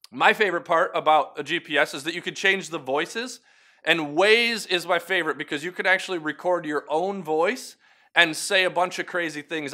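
A man in his thirties gives a short devotional talk to camera as its host. His voice is bright and a bit higher than most men's. He speaks earnestly and encouragingly, like he is chatting with the viewer. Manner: earnest, encouraging, conversational with asides. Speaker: host of a weekly video devotional.

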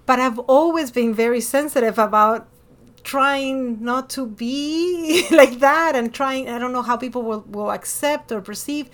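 Podcast host, female, Latin American Spanish accent, slightly high voice, expressive and emphatic in casual conversation. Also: measured pace. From born in Miami, lived over a decade in Ecuador.

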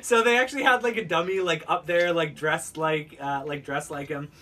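Male podcast co-host, bright tone, loose rambling conversational delivery, slightly distant mic. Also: a little high, moderate pace. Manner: rambling, filler-heavy with frequent "like". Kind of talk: casual unscripted chat.

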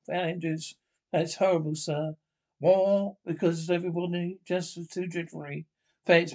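A man with a distinctly high voice reads a radio play script aloud. He moves through it haltingly, tripping on words and doubling back to repeat them. Speaker names get read out along with the dialogue. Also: a little mellow, rough recording. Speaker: amateur script reader.

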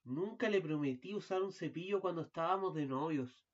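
A man's voice: fairly high pitch, normal speed, muffled recording, natural voice. A young man, a student actor, playing a fussy, weary husband who grows sinister in an amateur radio drama. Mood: irritable. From Chile. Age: young adult.

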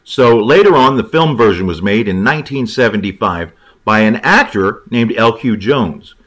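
Low-voiced man in casual film commentary. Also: expressive, moderate pace, a bit bright. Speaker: writer, guest film commentator.

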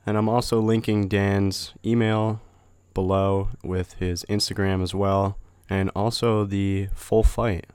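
A young man hosting a podcast, sounding congested from a cold, chatty and relaxed, laughing often. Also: fairly low pitch, slow, hardly any pauses, clean tone, no room sound. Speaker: podcast host. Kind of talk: casual podcast chat.

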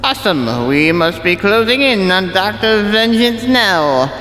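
A woman's voice: medium pitch, very animated, unhurried, a bit bright. A woman playing a cocky, slangy superhero given to mock-heroic outbursts in a parody audio drama. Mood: casual, cocky. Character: cocky, slangy laser-eyed superhero Rick. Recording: echoey hall reverb.

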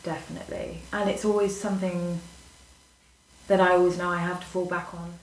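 A woman, a guest chatting casually, whose phrases often trail off quietly. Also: lowish voice, level tone, brisk. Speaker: guest, former teacher. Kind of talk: casual conversation. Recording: distant echoey mic with faint noise.

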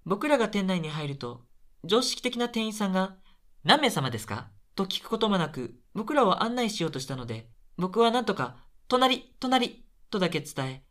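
Man playing a shy student with lively pitch. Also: normal speed. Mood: hesitant. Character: shy lonely male university student, soft-spoken, quietly scheming. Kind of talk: radio drama dialogue and first-person narration.

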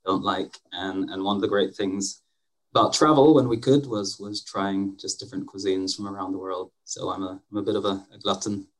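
A man's voice: deep voice, fairly even intonation, normal speed, low-quality recording. A man who grew up in Bangladesh, hosting a podcast conversation in a friendly, self-deprecating way with frequent restarts. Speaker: podcast host.

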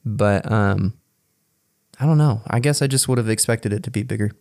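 A man's voice: fairly low pitch, normal speed, darkish tone, dry recording, clean studio sound. A man co-hosting a casual podcast, talking enthusiastically and rambling with many fillers and restarts. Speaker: podcast co-host.

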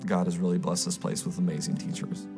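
A woman's voice: a bass voice, little pitch movement, fast. A young woman, a college student, giving a casual testimonial. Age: young adult. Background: music with guitar.

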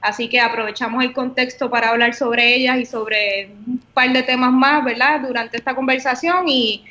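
A woman's voice: a little high, steady tone, normal speed, sharp tone, muffled recording. A woman with a Puerto Rican accent hosting a casual interview podcast over a video call, friendly, with frequent "eh" fillers. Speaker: podcast host.

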